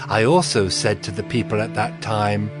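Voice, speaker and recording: An actor playing a resolute, commanding ancient governor, solemnly narrating in a dramatized Bible recording. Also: male voice, fairly low pitch, fairly even intonation, moderate pace, over music, natural voice.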